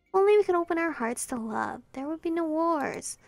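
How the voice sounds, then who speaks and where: A woman's voice: very high voice, lively intonation, brisk, vocal fry, dry recording, soft background music, pitched above the performer's own voice, exaggerated voice. A woman voicing a cocky teenage girl prankster who teases with mock sincerity. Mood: mock-hurt. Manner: smug, goofy, mock-sincere.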